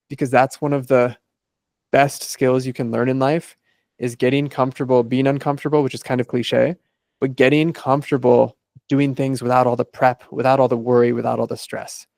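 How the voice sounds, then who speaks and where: Gravelly-voiced man explaining in steps. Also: medium pitch, a little mellow, dry recording, clean studio sound. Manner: methodical, lays out numbered steps. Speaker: life coach guest. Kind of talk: podcast interview.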